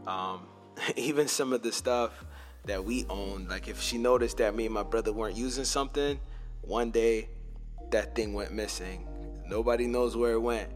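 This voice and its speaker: A young man hosting a podcast, telling loose, slangy stories in a casual way and dropping into low asides. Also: moderate pace, soft background music. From Montreal, Canada. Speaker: podcast host. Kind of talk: casual storytelling.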